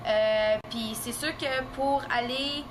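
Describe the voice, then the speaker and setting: A woman's voice: on the high side, steady tone, slow pace, noisy background. A young woman speaks casually and colloquially about her program. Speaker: program student. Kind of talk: unscripted testimonial.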